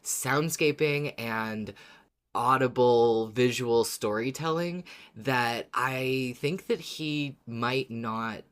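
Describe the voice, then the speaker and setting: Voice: medium pitch, slow pace. A podcast co-host chatting casually about a favorite show in an informal, emphatic way. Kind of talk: casual fan podcast discussion.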